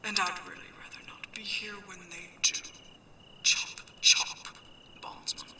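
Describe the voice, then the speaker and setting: Female pirate captain , played by an actress: hushed, unhurried, commanding and teasing, slightly distant in an echoey sci-fi setting. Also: medium pitch, level tone, close to an everyday voice. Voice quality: hushed, breathy whisper. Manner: slow, teasing, imperious. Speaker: voice actor in an audio roleplay. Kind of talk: ASMR sci-fi roleplay acting.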